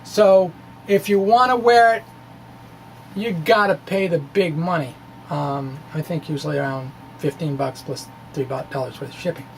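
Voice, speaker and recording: A man giving an informal explanatory lecture in a halting, conversational way, with asides. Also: on the high side, a little hiss or hum. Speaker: physics blogger presenting derivations.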